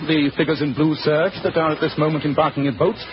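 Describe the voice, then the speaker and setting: An actor playing Sherlock Holmes as a brisk, self-assured English gentleman detective, speaking crisply in theatrical 1940s radio style. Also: fairly high pitch, fairly even intonation, lightly acted. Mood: pleased, animated. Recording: muffled, hissy 1940s radio recording.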